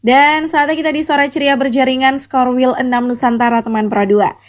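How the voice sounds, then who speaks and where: High-voiced chatty woman presenter. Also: fairly even intonation, moderate pace. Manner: friendly chatty, sprinkles English. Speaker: radio presenter. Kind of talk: radio interview.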